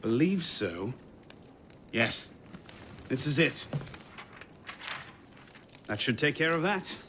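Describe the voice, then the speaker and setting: An actor playing a determined assassin in a video game cutscene, speaking in short, dry, clipped lines. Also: male voice, medium pitch, very animated, slow, background noise. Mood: terse, intent. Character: terse, determined male assassin with dry humor.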